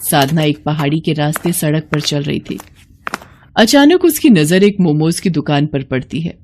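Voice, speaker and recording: A woman's voice: low voice, lively intonation, moderate pace, darkish tone. A woman narrating a scripted moral bedtime story as its storyteller.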